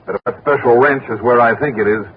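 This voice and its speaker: A man's voice: mid-range voice, fairly quick, slight background noise, close to an everyday voice. An actor playing a shrewd, dogged male insurance investigator who also narrates in a 1960s radio drama. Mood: intent, probing. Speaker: lead actor and narrator of a detective radio series. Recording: dull old radio recording with music.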